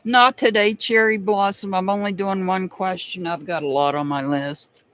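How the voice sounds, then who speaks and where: A man with a noticeably high voice and a slight Southern accent talks casually to a live stream audience as he hosts tarot readings. He chats loosely, laughs easily and greets viewers one by one by name. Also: steady tone, normal speed, sharp tone, smooth. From United States. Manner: chatty, laughs often, answers viewers by name.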